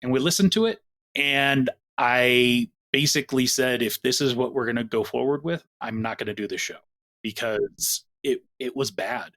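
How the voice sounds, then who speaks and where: A man producing an audio drama, talking about it in an earnest, drawn-out way in a casual podcast chat. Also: mid-range voice, a bit bright.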